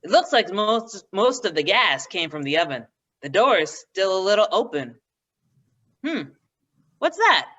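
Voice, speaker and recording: A woman's voice: mid-range voice, very animated, measured pace, long pauses, a bit bright, lo-fi sound. A woman playing a folksy, eager male police assistant in a 1940s-style radio mystery.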